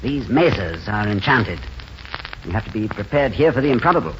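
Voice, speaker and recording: An actor playing a courteous, wistful, idealistic drifter in a 1940s radio drama, speaking in an eloquent, genteel way. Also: male voice, lowish voice, very animated, fairly quick. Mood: wistful, intense. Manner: eloquent, genteel, hesitant openings. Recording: dull, faintly noisy 1940s radio recording.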